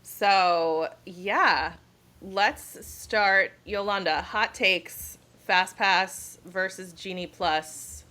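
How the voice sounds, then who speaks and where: A woman's voice: mid-range voice, drawn-out words, bright, forceful tone. A woman in her thirties hosting a casual podcast, upbeat and leading the talk, her throat slightly scratchy. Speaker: podcast co-host. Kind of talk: casual chat.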